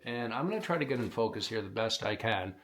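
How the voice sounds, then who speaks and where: A man's voice: lowish voice, lively intonation, quick pace, fairly bright tone. A man explaining step by step, in a plainspoken way, how to blow a hunting call, teaching beginners on camera. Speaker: call maker teaching predator calling. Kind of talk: instructional demonstration.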